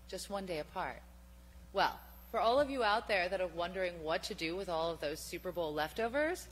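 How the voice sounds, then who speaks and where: A woman acting a poised old-time radio hostess making a scripted introduction. Her voice is on the low side and animated, ringing in a reverberant hall as she presents deliberately. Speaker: show host. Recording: reverberant hall, faint hum and noise.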